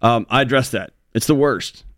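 A man's voice: mid-range voice, normal speed, a bit bright, no room sound, clean studio sound. A man co-hosting a talk show, speaking earnestly in casual conversation with frequent restarts. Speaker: co-host.

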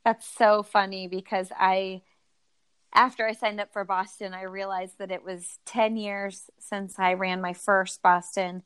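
A woman's voice: medium pitch, little pitch movement, measured pace, a bit bright, clear, resonant tone, no room sound. A woman in her thirties, a guest on a podcast, talking casually and laughing often about her own running. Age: 30s. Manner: chatty, laughs often, self-deprecating. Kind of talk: casual interview chat.

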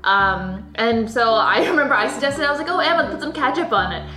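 A woman's voice: on the high side, lively intonation, a little fast, sharp tone. A chatty woman recounting a story casually, with lots of ums and likes. Her voice sounds roomy, with faint music behind it. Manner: chatty, with fillers and laughs. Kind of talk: casual recollection.

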